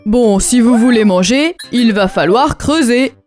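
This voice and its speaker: A woman's voice: on the high side, expressive, unhurried. A woman voicing a bossy, playfully stern grown-up who minds little ones in a rhyming children's cartoon, scolding and instructing. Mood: teasing, bossy. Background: music.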